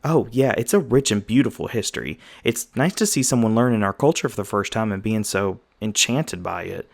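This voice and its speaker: Easygoing magi guide, played by a man, gravelly, patient fantasy storyteller for ASMR roleplay. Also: on the low side, lively intonation, a little fast. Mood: fond, teasing. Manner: folksy, patient explaining.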